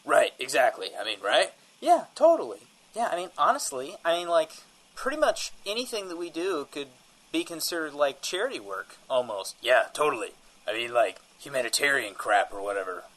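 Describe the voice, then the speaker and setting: Shifty, rambling schemer played by a man, wildly animated. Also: a little high, moderate pace, poor audio. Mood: defensive. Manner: rambling, repetitive, evasive. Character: shifty, rambling, defensive small-time schemer field reporter. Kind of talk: comedic audio drama banter.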